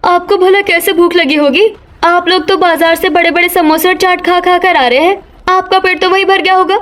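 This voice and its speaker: A woman's voice: very high-pitched, steady tone, normal speed, fairly bright tone. A woman voicing a pointed, complaining young village daughter-in-law in a cartoon story. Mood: accusing, sarcastic. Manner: pointed, plaintive.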